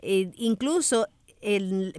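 A woman's voice: medium pitch, animated, very slow, dark tone, clean tone, dry recording. Radio host woman, dry studio. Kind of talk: radio interview, reading aloud.

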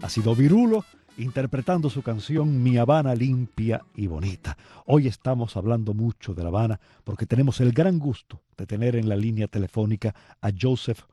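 A man's voice: medium pitch, warm tone, slightly rough, no room sound. A man hosting a radio show, introducing music and interviewing a guest on the phone in a friendly, chatty way. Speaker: radio host.

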